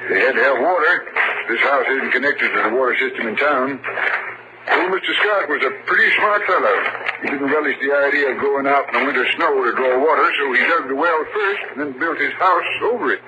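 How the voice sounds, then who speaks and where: An actor playing a steady, determined small-town sheriff in a 1940s radio drama, speaking deliberately and gravely with dramatic pauses. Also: male voice, medium pitch, muffled recording, very noisy, lightly acted. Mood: firm. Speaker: lead actor and narrator. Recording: old radio recording with music.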